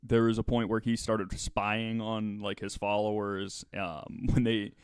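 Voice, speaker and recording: Low, smooth-voiced man telling a story. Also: little pitch movement, measured pace, no room sound, clean studio sound. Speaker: podcast host telling the story. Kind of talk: casual storytelling with banter.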